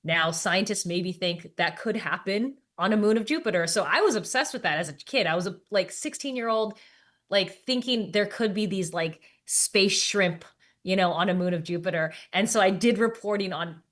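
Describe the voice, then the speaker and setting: A woman science podcaster talking casually in an interview, explaining with informal, halting phrasing and asides. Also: medium pitch, a bit bright.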